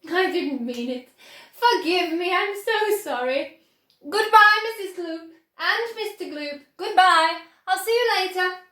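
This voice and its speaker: Lady doing an eccentric playful factory man from a storybook, high voice, slightly echoey room. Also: expressive, unhurried, sharp tone, exaggerated voice. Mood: brisk, playful.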